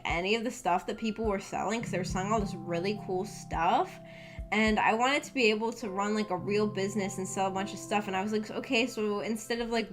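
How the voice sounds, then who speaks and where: A woman's voice: mid-range voice, fairly bright tone. A woman streamer chatting casually to viewers, telling personal stories in a rambling, self-deprecating, hesitant and giggly way. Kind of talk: casual livestream chat and personal storytelling. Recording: background music under the voice. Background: background music.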